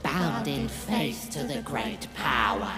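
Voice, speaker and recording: A man's voice: medium pitch, expressive, takes it slowly. A man playing a fanatical cult-leader squirrel in an audio drama, preaching with pompous ceremony in heavy reverb. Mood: solemn zeal. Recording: long hall reverb, loud music.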